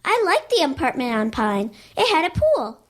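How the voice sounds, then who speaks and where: Kid sister for radio play: very high, bouncy pitch, blunt and eager. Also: female voice, huge pitch swings, moderate pace. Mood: whiny. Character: young little sister, blunt, excitable child.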